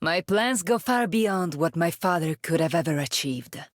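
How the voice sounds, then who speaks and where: A woman's voice: on the low side, animated, moderate pace, close to an everyday voice. An actress playing a proud, ambitious female usurper in a video game, defiant and confident. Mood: defiant confidence. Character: proud, ambitious female usurper claiming her father's empire.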